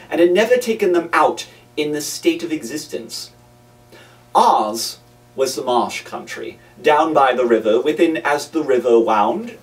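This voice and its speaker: A man giving a dramatic first-person reading of a classic novel, with theatrical, deliberate phrasing. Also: on the high side, distant mic. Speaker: reader.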